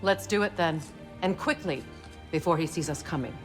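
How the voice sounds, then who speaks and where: An actress playing a vengeful, commanding warrior goddess with a deliberate delivery in a video game. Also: female voice, low-pitched, over music. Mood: determined. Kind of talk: video game cutscene acting.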